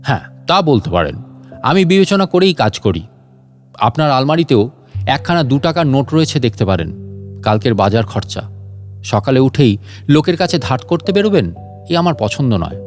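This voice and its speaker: A man's voice: mid-range voice, sing-song, normal speed, long pauses, soft background music, close to an everyday voice. A man voicing a bossy, irritable burglar in a comic audio story, stern and scolding, full of boasts and threats. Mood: smug. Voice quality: stern voice, hacking cough. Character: boastful, irritable male burglar mistaken for a ghost.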